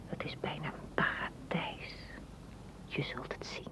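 An actress in a radio play playing a dreamy, tender woman gardener, speaking softly and poetically, often in a whisper. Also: a little slow, close to an everyday voice. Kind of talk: radio drama acting. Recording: muffled, noisy old radio recording.

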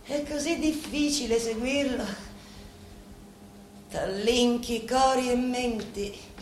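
A proud, darkly tender Sicilian woman of a crime clan, played by an actress, speaks a stage monologue in a fairly high voice. Her phrases are deliberate and broken by dramatic pauses. The room is echoey, with faint noise behind her. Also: steady tone. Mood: proud, wistful. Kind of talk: theatrical monologue in Italian mixed with Sicilian dialect.

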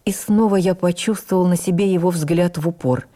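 An actress playing a stage actress who recalls her youth with admiring wonder, in measured, literary, expressive radio narration. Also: fairly low pitch, close to an everyday voice. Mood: reflective, admiring. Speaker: actress reading a memoir on radio. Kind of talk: dramatic first-person memoir narration for radio.

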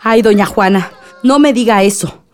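A woman's voice: mid-range voice, moderate pace, soft background music, natural voice. A woman playing a grieving, bitter, defiant mother in a radionovela, emotional and protesting. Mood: resentful grief. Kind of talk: radionovela acting.

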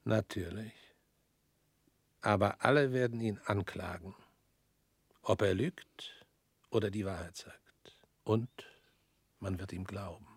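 An actor in a radio drama playing a weary former prosecutor who speaks slowly and drops to near whispers. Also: male voice, fairly low pitch, breathy edge, dry recording. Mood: grave.

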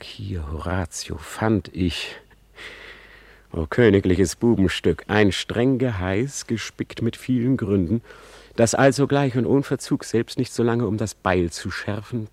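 A man acting a brooding, ironic young prince in a classical radio drama, with measured, articulate, dramatic delivery. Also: deep voice, a little mellow, dry recording.

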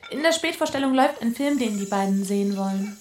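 A woman's voice: mid-range voice, expressive, quick pace, a little mellow, natural voice. A woman playing a terse, casual young wife in a radio drama. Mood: curt, offhand. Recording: roomy sound, faint background noise.

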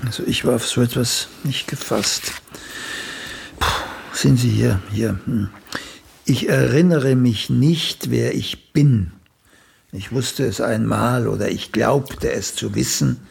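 A male actor in a German radio play, playing an older celebrated playwright who is a condescending, bluntly critical mentor with a gravelly, husky voice. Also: mid-range voice, slow, full character voice. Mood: reciting slowly.

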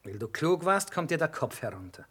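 A man's voice: a little high, big pitch swings, brisk, fairly bright tone, husky, exaggerated voice. An earthy army cook in a radio drama, always hungry and grumbling. He talks in a dry, blunt, folksy way. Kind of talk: German radio play acting.

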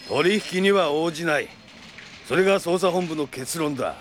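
An actor playing a stern, pragmatic middle-aged police section chief in a Japanese audio drama, gruff and authoritative. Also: male voice, fairly high pitch, expressive, moderate pace, slight background noise, natural voice.

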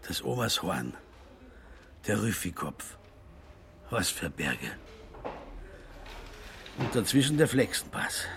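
An actor playing a worldly film producer who talks as a loyal, urging friend in a radio play. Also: male voice, lowish voice, background noise. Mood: wistful.

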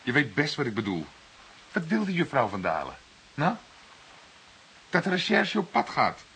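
An actor playing a skeptical, outspoken junior police detective in a Dutch radio drama, argumentative and emphatic. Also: male voice, medium pitch, wildly swooping pitch, moderate pace, a bit bright, rough recording. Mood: exasperated, skeptical. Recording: hissy old radio play recording.

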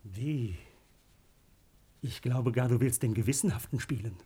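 An actor playing a menacing bandit who bullies his accomplice, husky and conspiratorial, in a classical radio drama. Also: medium pitch, unhurried, warm tone. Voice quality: husky, breathy. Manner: conspiratorial, threatening.

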